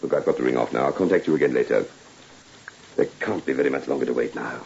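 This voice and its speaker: An actor in an old-time radio drama playing a cold, scheming office boss, curt and formal in manner. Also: male voice, extremely low pitch, fast talker, vocal fry, darkish tone. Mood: smug, conspiratorial. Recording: dull, lightly noisy old radio recording.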